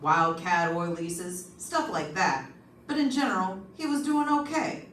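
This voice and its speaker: A woman's voice: on the low side, unhurried, fairly bright tone. A woman playing a seasoned, plainspoken homicide lieutenant in an old-time radio detective drama, matter-of-fact and colloquial. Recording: roomy, distant stage recording, faint noise.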